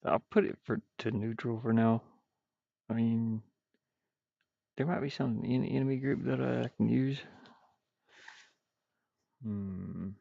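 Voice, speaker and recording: A man with a gravelly voice rambles and mutters to himself, thinking aloud casually as he streams his game development work. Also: medium pitch, slow pace, pauses often, no room sound. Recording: dull, muffled, dry lo-fi microphone.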